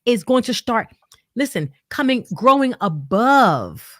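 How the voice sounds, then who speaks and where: A woman's voice: fairly high pitch, big pitch swings, measured pace, no room sound, clean studio sound. A woman hosting a faith-based leadership talk, speaking emphatically and building her points through analogies.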